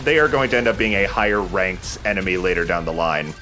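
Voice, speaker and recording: A man's voice: low voice, expressive, brisk, pushed and bright, slight background noise. A man hosting a let's play, chatting casually and agreeably about the game as he goes. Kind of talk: casual gameplay commentary.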